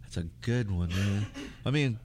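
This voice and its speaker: Casual male guest, American, slightly low voice, loose and slangy, talks in meandering runs. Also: normal speed, background noise. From United States. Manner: rambling, slangy. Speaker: interview guest. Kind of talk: casual conversation.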